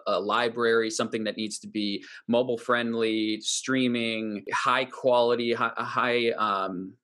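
A man's voice: mid-range voice, fairly even intonation, unhurried, fairly bright tone. A man hosting a conversational panel interview, putting questions to his colleagues. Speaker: podcast host and team member.

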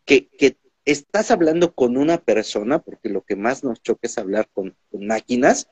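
A man with a Mexican accent hosting a podcast interview in a casual, animated way, often repeating his words. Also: mid-range voice, normal speed, breathy edge, no echo. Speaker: podcast host. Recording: dull lo-fi sound.

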